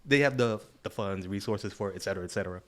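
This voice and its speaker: A young man co-hosting a podcast, talking in a relaxed, thoughtful way and often checking in with "you know what I'm saying.". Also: fairly low pitch, very fast. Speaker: podcast co-host. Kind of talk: casual chat.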